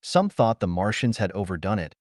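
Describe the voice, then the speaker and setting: Guy reading an old pulp sci-fi story, lowish voice, really animated, dry close sound. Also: brisk, no echo. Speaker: audiobook narrator. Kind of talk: scripted narration of pulp science fiction.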